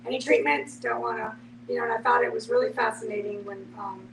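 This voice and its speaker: A woman's voice: fairly high pitch, fairly even intonation, fast talker. A woman explaining her thoughts reflectively in a group discussion, often saying "you know" as a podcast panelist. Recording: noisy, distant microphone, background hum.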